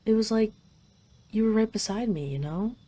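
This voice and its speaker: A woman's voice: medium pitch, lively intonation, moderate pace, dark tone, lightly acted. A woman playing a possessive, doting dragon queen in an intimate roleplay audio, speaking in a teasing, tender, lingering way. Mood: tender, confiding.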